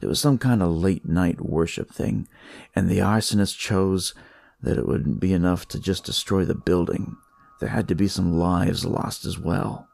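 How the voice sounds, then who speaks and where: A man playing a jaded, crude police detective, narrating his noir story in a wry, world-weary, conversational way with a gravelly, husky voice. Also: sits low, steady tone, moderate pace, darkish tone, no echo. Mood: wry, grim. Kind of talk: noir first-person scripted narration.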